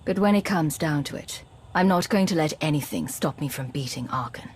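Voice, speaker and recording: A woman playing a guarded, pragmatic military commander in a video game, measured and matter-of-fact. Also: low voice, expressive, a little hiss or hum. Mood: cool, resolute. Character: guarded, pragmatic female agent and commander, self-reliant.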